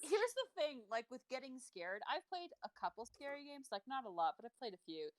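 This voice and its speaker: A woman's voice: high voice, big pitch swings, brisk, no room sound. A woman voice actor chatting casually on a stream, rambling with lots of fillers. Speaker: voice actor guest. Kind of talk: casual chat.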